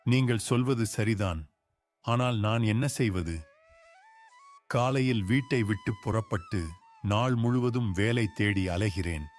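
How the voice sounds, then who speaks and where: A man's voice: medium pitch, measured pace, close to an everyday voice. A man voicing a poor village laborer and father, speaking earnestly and pleading, with music behind him. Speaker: voice actor. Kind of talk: dubbed cartoon acting.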